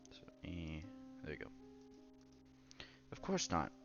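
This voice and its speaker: A man's voice: a bass voice, wildly swooping pitch, takes it slowly, creaky, highs cut off, loud music behind the voice. A man doing a casual let's-play, reading a visual novel aloud with asides and stumbling over words as he goes.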